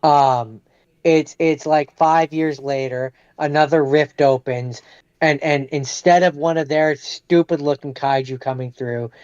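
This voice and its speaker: A man with a slightly high voice chats as a podcast co-host. He speaks informally and uses slang. The sound is close and dry, a little muffled. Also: fairly even intonation, unhurried, a little mellow, clean tone, no room sound. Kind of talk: casual chat.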